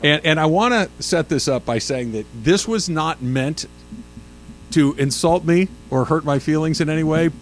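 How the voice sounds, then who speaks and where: Radio co-host, man in his fifties, self-mocking storyteller, slightly high voice, faint hum behind. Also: lively intonation, moderate pace. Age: 50s. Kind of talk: casual sports radio banter.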